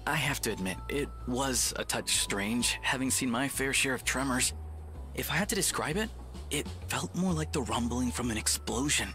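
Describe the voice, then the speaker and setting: An actor playing an earnest, polite and effusive railway worker in a video game cutscene. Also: male voice, mid-range voice, normal speed, bright, forceful tone, faint music behind, natural voice. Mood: apologetic, weary. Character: earnest, eager male railway worker, overworked and grateful.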